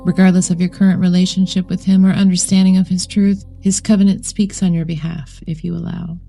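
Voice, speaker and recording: Woman, meditation narrator, low-pitched, gravelly fry, solemn, measured, scripted delivery. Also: level tone, dark tone. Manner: solemn, measured, deliberate phrasing. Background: background music.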